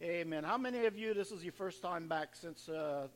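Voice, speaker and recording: A male pastor leading a church service, fervently urging the congregation to praise and chuckling through casual asides, his voice a little hoarse. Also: high voice, normal speed. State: slightly hoarse from worshiping. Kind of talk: church service exhortation and announcements. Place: church sanctuary. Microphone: handheld or stage microphone.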